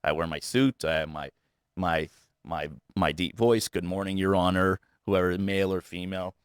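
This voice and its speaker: A man being interviewed, talking candidly in halting bursts, often restarting and repeating words, earnest and self-critical. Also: low voice, fairly even intonation, clear, resonant tone, no room sound, clean studio sound. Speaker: guest, realtor.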